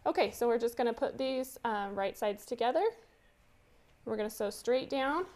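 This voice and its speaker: A woman's voice: a little high, moderate pace, a little mellow. A woman hosting a live quilting demonstration, chatty and giggly, explaining each step as she sews. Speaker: quilting tutorial host.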